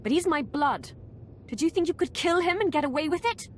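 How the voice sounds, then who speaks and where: A woman's voice: sits high, lively intonation, a little fast, a little hiss or hum. An actress playing a proud, vengeful young Sith woman in a video game cutscene, haughty and cutting. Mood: outraged.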